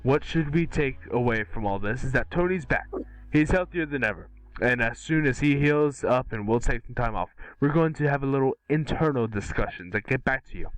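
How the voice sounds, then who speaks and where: A man's voice: medium pitch, expressive, fairly quick, dry recording, poor audio. A man reading dramatic movie dialogue casually at an amateur table read, with occasional stumbles.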